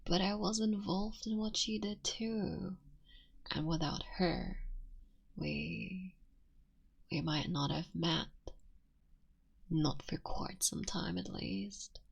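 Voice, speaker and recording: A woman playing an affectionate, playful and wistful fox witch in an intimate, softly whispered ASMR roleplay. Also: on the low side, full character voice. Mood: gentle, wistful. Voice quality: breathy. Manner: slow, intimate, soft-spoken with breathy whispers.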